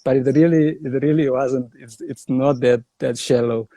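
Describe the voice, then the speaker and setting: Young South African man, mellow and animated. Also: mid-range voice, normal speed, no room sound. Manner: animated storyteller, says "bruh". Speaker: comedian guest. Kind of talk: casual interview chat.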